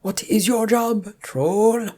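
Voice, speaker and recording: Lady doing a bossy troll boss in a storybook, pompous n demanding, husky pushed-up voice. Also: mid-range voice, takes it slowly, mellow, pitched above the performer's own voice. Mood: interrogating.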